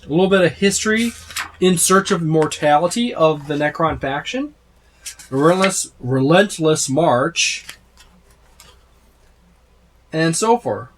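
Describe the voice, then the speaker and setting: A man's voice: a little high, expressive, slow pace, pauses often, a little hiss or hum. A man in his thirties hosting a tabletop gaming video from a room full of game shelves, rambling through a rulebook with many fillers and restarts. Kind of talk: casual book overview. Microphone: special microphone, close.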